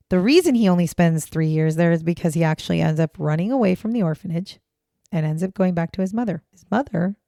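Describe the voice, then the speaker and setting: A woman co-hosting a casual true-crime podcast, her voice hoarse and raspy today, talking in a chatty, blunt way with casual swearing. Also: fairly low pitch, dark tone, no echo, clean studio sound. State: hoarse, raspy voice after choking on water. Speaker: podcast co-host.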